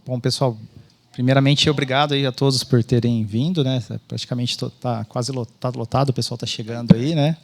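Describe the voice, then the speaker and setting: A man's voice: mid-range voice, fairly even intonation, fairly quick. A man with a Brazilian accent, an event organizer giving an informal welcome speech as host.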